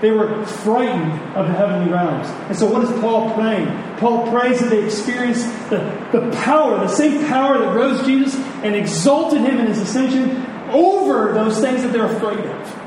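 Male preacher, earnest sermon delivery with repeated words for emphasis; very echoey room, clear background noise. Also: high-pitched, normal speed, few pauses. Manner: earnest, emphatic with repetition for stress. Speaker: pastor preaching to his congregation. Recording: echoey hall, distant mic, noisy background.

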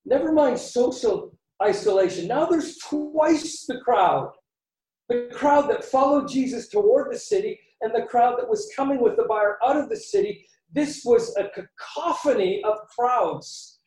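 A Canadian man delivers a sermon slowly, with dramatic pauses and repeated phrases for emphasis. His soft, mellow voice is picked up from a distance in an echoey room. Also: very high-pitched, expressive, soft background music. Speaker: preacher.

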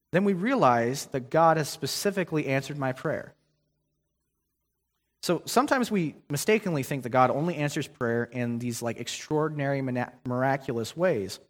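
A young man giving a guest sermon in a conversational way, with many ums and restarts. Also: a little high. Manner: conversational preaching with frequent fillers and restarts. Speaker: guest preacher. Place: church sanctuary. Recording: long hall reverb.

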